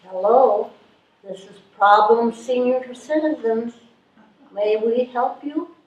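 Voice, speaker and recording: Slow officious woman acting a female clerk, echoey. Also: a little high, lo-fi sound. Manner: officious, measured. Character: officious, obtuse woman helpline clerk, politely bureaucratic. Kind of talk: live readers theatre reading. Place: live stage reading in a room. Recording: echoey room, distant microphone.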